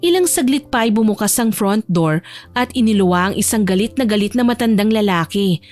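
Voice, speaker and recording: A woman's voice: mid-range voice, animated, fairly quick, a little mellow, soft background music. A woman narrating a scripted romantic audio drama as a storyteller.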